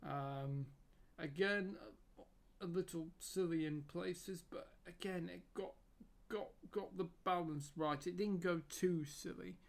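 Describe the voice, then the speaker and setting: A man's voice: high voice, takes it slowly, creaky. A man giving a casual spoken review, hesitant with many fillers and repeated words, losing his voice. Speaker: YouTube reviewer.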